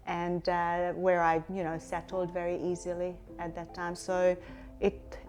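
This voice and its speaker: Reflective Hungarian-born woman, lowish voice. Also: completely flat delivery, measured pace, a little mellow, soft background music. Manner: reflective, many fillers and restarts. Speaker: company CEO, guest. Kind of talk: interview answers.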